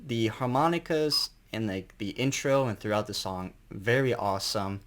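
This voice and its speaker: A man's voice: on the low side, moderate pace. A man giving a casual, unscripted album review as an enthusiastic fan, rambling and colloquial, with some mild swearing.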